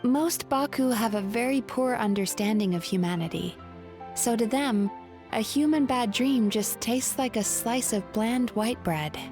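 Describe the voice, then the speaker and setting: A composed, polite spirit woman from a video game. Her voice sits fairly high and she speaks in a thoughtful, measured way, with faint music behind her. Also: a little mellow. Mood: wistful, explaining. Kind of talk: video game character acting.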